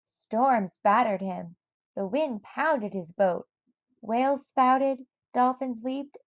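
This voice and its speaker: Woman reading a kids book out loud, takes it slow, dry dull audio. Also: a little high, gravelly, no room sound. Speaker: storybook reader. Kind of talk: expressive children's read-aloud narration.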